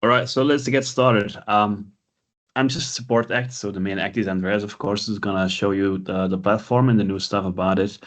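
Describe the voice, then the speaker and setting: A man's voice: fairly low pitch, fairly even intonation, normal speed, a bit bright. A man hosting a recorded webinar, talking in a hesitant, conversational way with many fillers. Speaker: webinar host.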